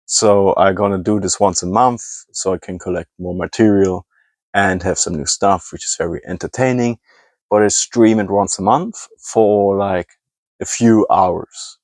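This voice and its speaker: A low-voiced man with a German accent talks through a casual hobby vlog in English, his second language. He rambles, hunts for words and corrects himself as he goes. The sound is dry and close, with little room echo. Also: measured pace, a little mellow. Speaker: scrapping hobbyist.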